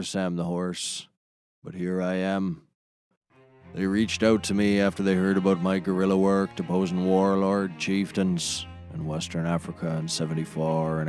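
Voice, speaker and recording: A man's voice: sits low, darkish tone. An actor playing a matter-of-fact, salesmanlike male sidekick, a shady ex-mercenary, in a scripted comedy. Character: gruff male sidekick, shady ex-mercenary turned product pitchman. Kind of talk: scripted comedy audio drama.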